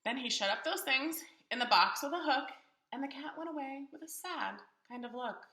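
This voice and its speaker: A woman reads a picture book to young children with theatrical expression. Her voice is high, very bright and animated, and the room sounds echoey. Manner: theatrical, pauses to question young listeners. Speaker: children's storybook reader.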